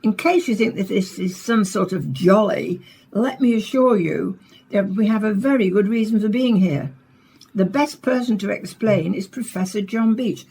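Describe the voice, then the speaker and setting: An inquisitive presenter of a science programme in a radio play. Her mid-pitched voice is a little husky, and she speaks briskly with a composed, probing broadcast manner. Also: dark tone. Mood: composed, professional.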